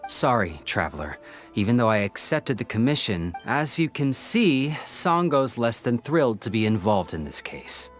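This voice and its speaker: An actor playing an earnest, polite young male detective's assistant in a video game, hesitant, apologetic and gently exasperated. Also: mid-range voice, very animated, normal speed, soft background music, lightly acted. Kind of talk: video game character dialogue.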